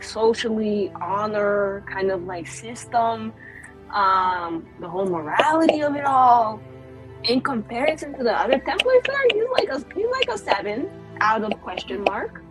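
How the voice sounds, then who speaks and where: A woman's voice: mid-range voice, expressive, a little slow, a bit bright, soft background music, poor audio. A young woman playing a tabletop roleplaying game, chatting in character with lots of fillers and giggles. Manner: rambling, filler-heavy, giggly. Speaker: player.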